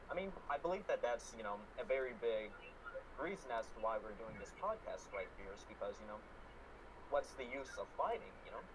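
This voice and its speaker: A man's voice: mid-range voice, sing-song, a little fast, noisy background. A man hosting a podcast discussion, talking earnestly with many fillers. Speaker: podcast host. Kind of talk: casual discussion.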